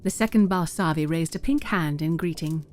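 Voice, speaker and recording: A woman's voice: deep voice, normal speed, a little hiss or hum, lightly acted. A woman narrating a scripted fantasy adventure as a storyteller.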